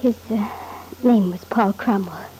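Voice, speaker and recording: An actress in a classic radio soap opera playing a fragile, lonely wife testifying hesitantly, on a noisy old recording. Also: female voice, medium pitch, dark tone, lightly acted. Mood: hesitant, pained. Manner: hesitant, halting.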